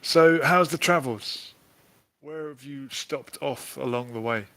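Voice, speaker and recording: A man's voice: medium pitch, slow pace, pushed and bright. A man hosting a casual live stream over a video call, with jokey, wry asides. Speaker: host.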